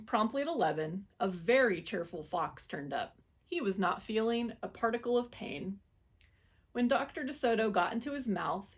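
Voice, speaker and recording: Woman reading a storybook aloud. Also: medium pitch. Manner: expressive, animated storytelling. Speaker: children's story reader. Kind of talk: reading a picture book aloud to children.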